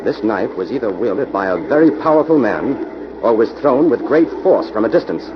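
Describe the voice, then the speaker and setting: An American man playing a composed, authoritative investigator in 1940s radio drama style, speaking deliberately. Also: medium pitch, expressive, close to an everyday voice. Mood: composed, probing. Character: sharp, composed male investigator, the Avenger. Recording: noisy, dull old radio recording.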